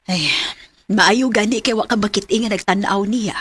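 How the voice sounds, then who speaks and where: A woman's voice: mid-range voice, moderate pace, a bit bright, breathy edge, no room sound. A woman playing a practical, matter-of-fact middle-aged village mother in a radio drama, with a Cebuano accent. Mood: curious, advising.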